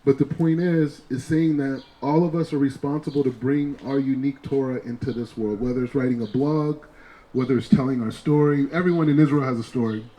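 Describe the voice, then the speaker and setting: A man's voice: mid-range voice, steady tone, a little fast, dark tone, rough recording. A man giving an earnest introduction and blessing for an author at a book launch, with repeated restarts. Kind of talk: warm blessing-style introduction.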